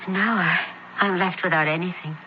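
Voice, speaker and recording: Radio drama: actress as searching, troubled young woman of antiquity, lowish register, earnest formal delivery, muffled vintage broadcast. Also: fairly even intonation, fairly quick, lightly acted. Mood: disillusioned. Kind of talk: old-time radio drama acting. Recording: dull old radio broadcast, faint noise.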